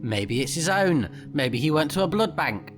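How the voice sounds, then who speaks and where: A man's voice: a little high, sing-song, moderate pace, soft background music. A man recording a let's play, switching between casual off-the-cuff commentary and reading game text aloud. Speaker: let's player, game streamer.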